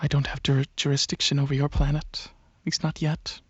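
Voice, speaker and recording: A man voicing a regal, courteous dragon alien prince in a soft-spoken ASMR roleplay, with a husky, breathy voice and a hesitant, musing, explaining manner. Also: on the high side, flat delivery, brisk, no echo, highs cut off. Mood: soft, proud.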